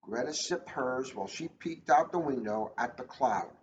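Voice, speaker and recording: A man's voice: mid-range voice, expressive, moderate pace, a little mellow, echoey room. A man, a police officer, reading a children's storybook aloud in a casual, informal style. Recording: dull lo-fi audio, faint noise.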